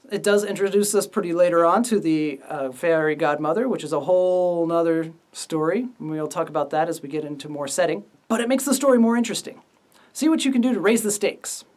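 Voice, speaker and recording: A man's voice: high-pitched, distant mic. A man hosting a casual writing lesson as the instructor. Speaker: writing instructor and series host.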